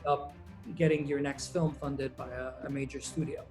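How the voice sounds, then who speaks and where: A man's voice: medium pitch, normal speed, soft background music. Laid-back man, filmmaker guest. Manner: relaxed, rambling, many ums and uhs. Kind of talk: casual interview answers.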